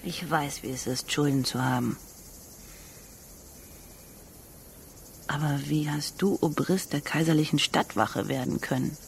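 Proud, creaky-voiced young woman, sardonic. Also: low voice, normal speed, a little hiss or hum. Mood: wistful. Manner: dry, sardonic. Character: proud, guarded young female street acrobat, mocking. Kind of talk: German radio drama dialogue.

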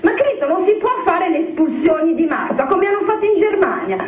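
Female, emphatic heated talker, very high pitch, roomy crowded recording. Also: expressive, a little fast, narrow, tinny audio. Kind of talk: heated casual opinion talk. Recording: roomy clip with loud crowd noise.

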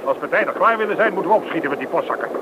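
An agitated man acted by a male performer argues emphatically in a radio play. His voice sits a little high, on a dull recording with loud noise behind it. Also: expressive, rapid-fire, lightly acted. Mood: urgent.

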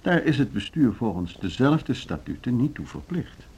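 An actor in a Dutch radio play playing a middle-aged board chairman, formal, deliberate and courteous but unbending, with a Netherlands accent. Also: medium pitch, sing-song, a little mellow, breathy edge, exaggerated voice. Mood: courteously firm. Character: measured, legalistic male board chairman of a music school.

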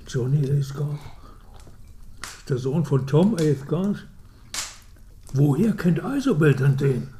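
A man's voice: fairly high pitch, expressive, slow, long pauses, warm tone. An actor in a German radio drama playing a middle-aged, disapproving father who grumbles in an offhand, dismissive way. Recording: roomy, noisy with clinking tableware. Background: cutlery and dishes.

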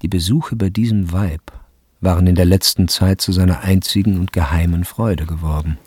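A man narrating a literary radio drama from a script, with measured and deliberate phrasing. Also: low voice, steady tone, darkish tone, husky, dry recording, studio quality.

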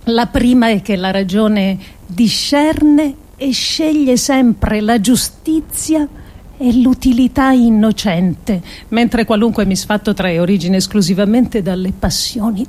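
Slow, solemn woman reading, higher-pitched voice. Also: darkish tone. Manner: deliberate, solemn, with emphatic pauses. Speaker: performer reading an essay monologue. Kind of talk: dramatic scripted radio reading of philosophical essay.

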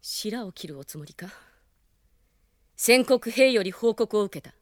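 An actress playing a proud, honorable young princess commander in a radio drama, with stern, formal, knightly speech. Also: female voice, mid-range voice, animated, a little slow, dry recording, clean studio sound, lightly acted. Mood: stern, indignant.

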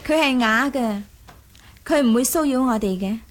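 A woman's voice: on the high side, moderate pace, lightly acted. A woman playing a playful, poised and commanding young Mongol princess in an audio drama. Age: young adult. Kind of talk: Cantonese audio drama acting.

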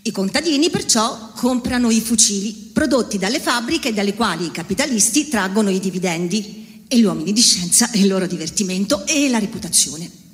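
A woman giving a deliberate, declamatory theatrical reading of literary prose. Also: medium pitch, animated. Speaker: theatre performer reading a political text. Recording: reverberant hall.

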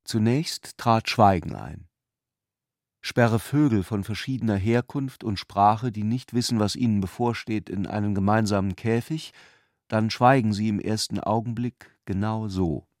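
A man narrating literary prose for a radio play in a measured, precise manner, recorded in a studio. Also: fairly low pitch, a little mellow, dry recording. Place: dry studio.

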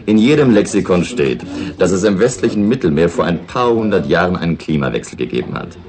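A man playing a gruff, mocking local in a tavern, dry and cynical, in a 1960s radio drama. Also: sits low, lively intonation, a little fast, a crowd in the background.

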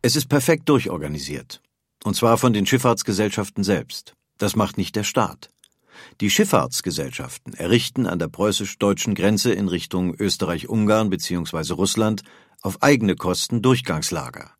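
A man's voice: deep voice, lively intonation, moderate pace, dry recording, studio quality. A man delivering scripted documentary narration.

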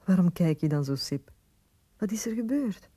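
A woman acting in a radio drama, playing a devoted dwarf noblewoman, a loving wife and mother, in a tender, expressive way. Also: fairly low pitch, moderate pace, breathy edge, no echo. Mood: concerned.

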